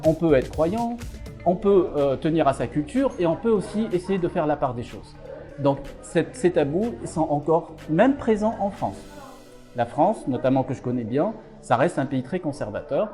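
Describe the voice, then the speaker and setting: A man's voice: fairly high pitch, lively intonation, moderate pace, warm tone, soft background music. A middle-aged man from Algeria, a psychiatrist and author, answering interview questions with many fillers and restarts.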